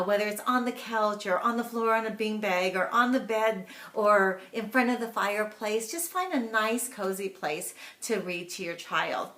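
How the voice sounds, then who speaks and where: A woman speaking as a teacher, giving instructional tips to parents in an animated, emphatic manner. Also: mid-range voice. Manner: animated, emphatic, acts out example questions. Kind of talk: instructional talk to camera. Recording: echoey room sound.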